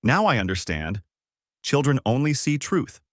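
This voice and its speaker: A man's voice: mid-range voice, big pitch swings, normal speed, no room sound, voice pushed up, lightly acted. A male narrator reads an earnest mother giving her account in a story. The delivery is that of narrated dialogue, recorded dry and close. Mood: earnest, moved. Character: earnest mother of a small boy, crime witness.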